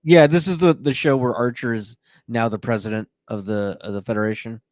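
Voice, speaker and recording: A man's voice: medium pitch, a little fast, no echo. A man with a mid-range voice hosts a podcast, easygoing and joking with hesitant fillers. He sounds a little woozy. The recording is dry and close. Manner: relaxed, jokey, hesitant fillers. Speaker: podcast host. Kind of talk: casual chat, reading news.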